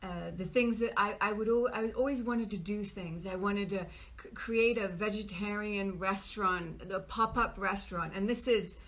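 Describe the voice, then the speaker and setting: Female interview guest from England, medium register, relaxed conversational storytelling. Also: medium pitch, normal speed. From Nottinghamshire, England. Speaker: guest, business coach and author. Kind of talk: casual personal storytelling.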